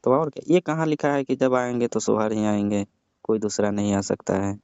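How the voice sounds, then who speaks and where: A man's voice: on the low side, steady tone, moderate pace, a little mellow, smooth, no room sound. A man on a staged comedy phone call who talks in a grumbling, argumentative way. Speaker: caller. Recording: muffled phone call recording.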